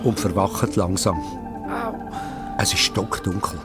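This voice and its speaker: A man's voice: low voice, fairly even intonation, slow pace. A man with a Swiss German accent narrating a children's story dramatically, in short, suspenseful phrases. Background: music.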